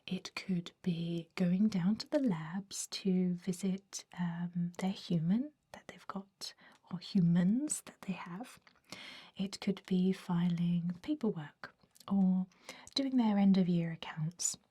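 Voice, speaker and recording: Woman acting as a centuries-old vampire recruiter, ASMR roleplay, breathy hush, mellow, fussy, dismissive, hesitant. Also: lowish voice, slow. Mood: discouraging, matter-of-fact. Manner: hesitant, hushed, politely dismissive.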